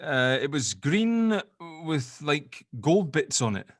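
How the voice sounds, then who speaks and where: A man's voice: a little high, very animated, takes it slowly, no echo, studio quality, heavily acted. A man playing an earnest, exasperated amateur sleuth in a drama table read, hesitant in his explanations. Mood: explaining.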